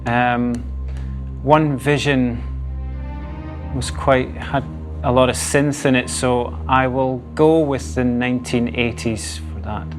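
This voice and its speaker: A man in his thirties answers quiz questions in a studio, hesitant and thinking aloud. His voice has an ordinary mid pitch, with pauses and fillers as he works it out. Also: steady tone, faint music behind. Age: 30s. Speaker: quiz contestant. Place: television studio.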